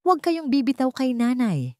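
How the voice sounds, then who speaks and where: A woman's voice: high voice, very animated, moderate pace, darkish tone, dry recording, pitched above the performer's own voice. A woman voicing a frightened but defiant mother in a radio drama, firm and protective with forced bravery. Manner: pleading firmness, forced bravery. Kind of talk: Tagalog radio drama storytelling.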